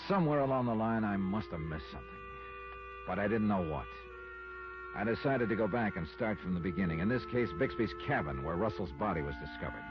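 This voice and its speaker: A man's voice: fairly low pitch, expressive, fairly quick, natural voice. An American man playing a shrewd, world-weary insurance investigator in a 1950s radio detective drama, with clipped hard-boiled narration. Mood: brooding, intent. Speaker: lead actor and narrator. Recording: muffled old radio recording with music.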